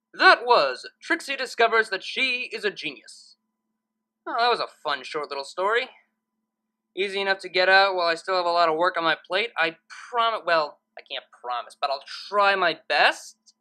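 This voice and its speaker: Woman, story reader and host, casual, bright tone, animated pitch. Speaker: fan fiction reader and channel host. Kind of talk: story narration and casual chat.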